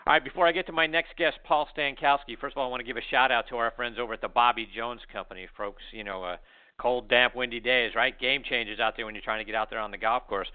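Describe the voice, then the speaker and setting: A man's voice: mid-range voice, steady tone, fast. A man hosting a golf interview show and reading sponsor ads in an upbeat, enthusiastic way. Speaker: podcast host. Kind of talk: interview and ad reads. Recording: tinny phone-like audio.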